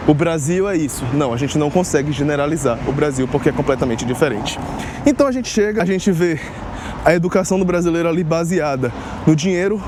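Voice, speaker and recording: A young man from Salvador, casually explaining to his vlog audience in a direct, conversational way. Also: fairly high pitch. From Salvador, Northeast Brazil. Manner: conversational, direct address with rhetorical asides. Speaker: vlogger on life abroad. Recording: street noise behind.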